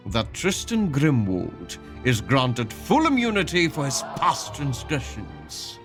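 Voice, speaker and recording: A man's voice: medium pitch, fairly bright tone. An actor playing a pompous king in a fantasy audio drama, proclaiming in a formal, grandiose manner. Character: regal, pompous king issuing a formal decree.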